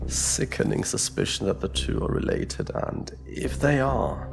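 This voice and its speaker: Low, gravelly world-weary detective. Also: male voice, wildly swooping pitch, unhurried, background noise, exaggerated voice. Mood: grave, ominous. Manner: wry, theatrical, brooding. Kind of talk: scripted audio drama acting.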